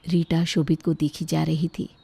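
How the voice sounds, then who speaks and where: A woman's voice: sits low, little pitch movement, a little slow, vocal fry, dark tone, breathy edge. A woman reading scripted narration of a moral story as its storyteller.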